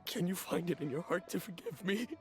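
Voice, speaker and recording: Sheepish swindler merchant, high voice, stammering, meek, anime game, faint music behind. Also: male voice, lively intonation, fairly quick. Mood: contrite. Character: sheepish, nervous swindler merchant, adult man.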